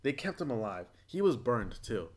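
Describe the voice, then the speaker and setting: A man hosting a casual podcast, retelling stories in a rambling, profane, animated way. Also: medium pitch, moderate pace, husky. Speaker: podcast host.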